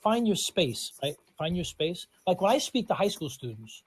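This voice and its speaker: A man's voice: high voice, big pitch swings, normal speed, husky. A man with a New York accent, hosting a podcast in a chatty way with many restarts. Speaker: podcast host. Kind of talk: casual podcast conversation.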